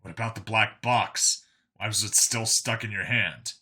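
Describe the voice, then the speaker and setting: A blunt, guarded male cop in an audiobook story, played by a male reader. The voice is very bright and forceful, and he holds himself back as he talks. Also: on the low side, normal speed, husky, heavily acted. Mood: terse. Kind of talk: audiobook character dialogue.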